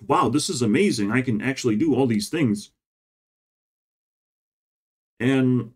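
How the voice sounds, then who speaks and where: A man in a rambling casual monologue who swears often, ends many phrases with "bro", and keeps jumping between high and low pitch. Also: slightly rough. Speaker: vlogger.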